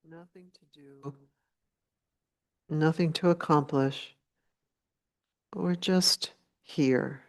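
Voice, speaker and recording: Slow-paced woman guiding a meditation. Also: sits low. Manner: gentle, unhurried, with long pauses. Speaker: meditation teacher. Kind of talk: guided meditation.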